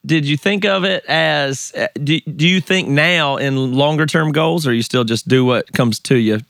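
A man with a Southern US accent hosting a podcast interview, talking in a relaxed, chatty way with frequent restarts and easy laughs. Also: a little high, normal speed, gravelly, dry recording, clean studio sound. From American South. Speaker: podcast host. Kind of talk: casual interview chat.

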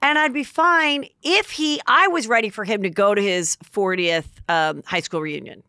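A middle-aged woman hosting a podcast, chatting casually about celebrity gossip with quick asides and plenty of fillers. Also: mid-range voice, pushed and bright, dry recording, clean studio sound. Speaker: podcast host.

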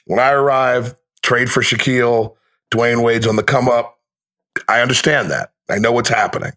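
A man with a mid-pitched voice chats casually on a podcast. He has the storytelling habit of a sports announcer, laying things out in short clipped phrases with wry, slangy turns. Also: fairly bright tone. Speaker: basketball radio play-by-play announcer, guest.

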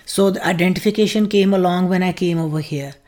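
A woman's voice: on the low side, fast, a little mellow. An older woman from Pakistan, the host's mother, thinking back in an interview and making light of serious things. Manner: reflective, jokes about serious things. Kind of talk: interview recollection.

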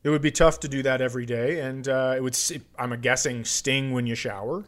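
A man's voice: medium pitch, fairly even intonation, brisk. A man hosting a comedy podcast, a comedian with a blunt, teasing, profane way of bantering.

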